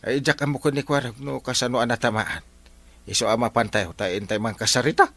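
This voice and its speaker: A man with an Ilocano accent playing an excitable village man who nags and advises his friend in a comedy drama. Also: medium pitch, quick pace. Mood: teasing.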